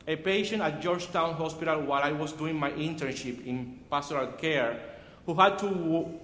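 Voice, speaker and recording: A man from Cuba with a Cuban Spanish accent, whose first language is Spanish, preaching a sermon in an earnest, measured way and often restarting words. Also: on the high side, a bit bright, a little hiss or hum. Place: church sanctuary. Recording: echoey hall from far off.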